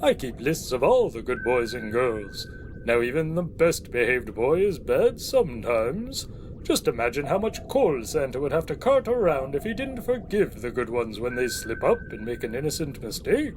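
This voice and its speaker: Old Santa: kindly, gently lecturing, wildly varied intonation, faint noise behind, for a comic audio drama. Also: male voice, fairly high pitch, moderate pace. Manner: avuncular, gently lecturing, deadpan. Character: jolly, kindly old Santa Claus with dry wit. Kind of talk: comic audio play acting.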